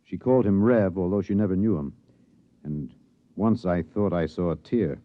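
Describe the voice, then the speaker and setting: An actor playing an earnest, somber news reporter, narrating solemnly in a 1950s radio drama. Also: male voice, sits low, normal speed, dry recording, natural voice. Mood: grave. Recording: dull 1950s radio recording.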